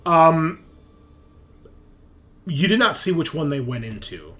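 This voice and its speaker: A man running a tabletop game, narrating off the cuff in a casual, halting conversational way. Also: a little high, sing-song, bright, forceful tone. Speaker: game master.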